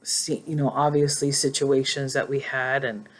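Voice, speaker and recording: A woman's voice: very deep voice, little pitch movement, normal speed. A woman in her forties talking casually and emotionally to her phone in a personal vlog, rambling and reflective. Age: 40s. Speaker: vlogger. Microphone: phone held in hand.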